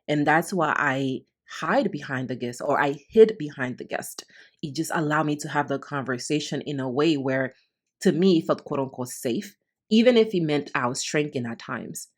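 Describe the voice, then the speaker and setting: Low-voiced earnest woman podcast host. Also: lively intonation, moderate pace. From Honduras, raised in the Bronx, New York. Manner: earnest, heartfelt, repeats phrases for emphasis. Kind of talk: reflective solo podcast monologue.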